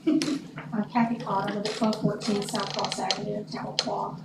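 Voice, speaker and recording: A woman's voice: mid-range voice, little pitch movement, normal speed, gravelly. A woman with an Oklahoma accent and a scratchy voice, speaking earnestly as a parent at a public meeting. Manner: plain, earnest speech. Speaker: public commenter. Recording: echoey room, distant mic, noisy.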